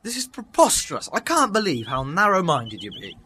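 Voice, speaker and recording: Male actor as a lively, blustering eccentric; noisy audio. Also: high-pitched, a little fast, exaggerated voice. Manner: theatrical, indignant, bluffing. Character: eccentric, blustering time-traveling male Doctor. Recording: noisy amateur recording.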